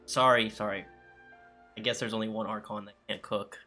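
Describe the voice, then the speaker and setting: A man's voice: fairly low pitch, steady tone, normal speed, sharp tone, faint music behind. A man reading video game dialogue choices aloud as a gaming video creator.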